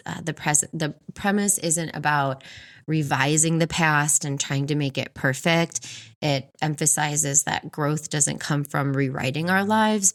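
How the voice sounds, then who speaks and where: A woman in her forties co-hosting a book podcast, reviewing in an expressive, chatty way with frequent laughter. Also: sits low. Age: 40s. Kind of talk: book review chat, partly prepared notes.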